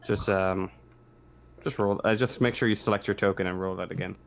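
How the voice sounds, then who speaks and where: A man acting as game master, narrating and ruling on a tabletop roleplaying game in a casual, halting way that repeats phrases. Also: low voice, steady tone, gravelly, smooth.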